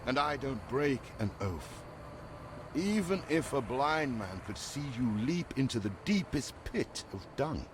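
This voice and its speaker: An actor playing a loyal, wry companion, a broke drifter bound by a life debt, in a dramatic game cutscene. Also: male voice, mid-range voice, very animated, noisy background. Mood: solemn. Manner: theatrical, wry, drawn-out delivery. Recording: music behind the voice.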